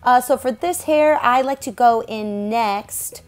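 A woman's voice: on the high side, slow, soft background music. A young woman, a stage performer, talking casually over her makeup tutorial. She is chatty and self-deprecating and laughs often.

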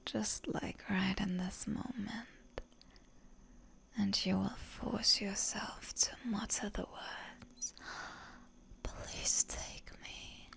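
A seductive vampire huntress, acted by a woman whispers in a roleplay ASMR. Her voice is breathy and deeply creaky, crackling with fry. She speaks slowly and deliberately, teasing like a predator toying with prey. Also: fairly even intonation, a bit bright, full character voice. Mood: seductive, possessive.

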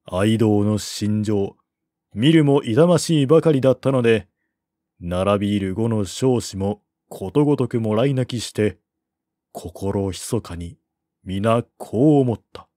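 A man narrating a historical novel as an audiobook, with a measured, expressive literary delivery. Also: on the low side, no echo, studio quality.

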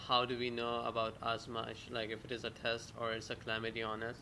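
Audience man, flat bright delivery, tentative, faint rumble behind. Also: brisk. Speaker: audience member asking a question. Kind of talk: asking a question.

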